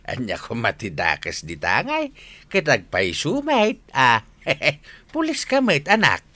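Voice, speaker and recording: An actor playing a boastful, hard-drinking old father in a radio comedy drama, halting and mumbling with chuckles and filler sounds. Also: mid-range voice, huge pitch swings, sharp tone, dry recording, full character voice. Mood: sheepish. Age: older.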